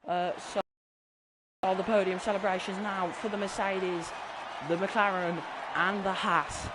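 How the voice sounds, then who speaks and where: A man commentating a sim racing league with excitement, his voice strained and fading. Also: a little slow, very noisy. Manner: excitable, voice climbing high at big moments. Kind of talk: excited live race commentary. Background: music.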